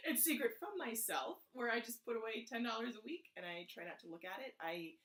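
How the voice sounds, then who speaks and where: A woman's voice: fairly high pitch, animated, brisk, a bit bright, roomy sound. A young woman vlogging casually about saving money, chatty with lots of fillers, her voice scratchy from the early morning. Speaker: personal finance vlogger. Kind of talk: casual vlog chat.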